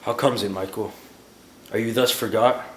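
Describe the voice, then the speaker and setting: An actor playing a stern, dignified general, declaiming with authority in classical stage style. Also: male voice, on the low side, steady tone, normal speed, natural voice. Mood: stern questioning. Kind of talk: classical Shakespearean stage acting. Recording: echoey hall, faint noise.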